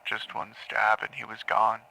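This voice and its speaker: An actor playing a haunted man in an audio drama, confessing in halting, repetitive phrases to a grim crime. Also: lowish voice, monotone, vocal fry, bright, forceful tone, exaggerated voice, poor audio. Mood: numb, grim. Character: haunted man confessing to killing his parents in therapy. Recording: taped therapy session sound.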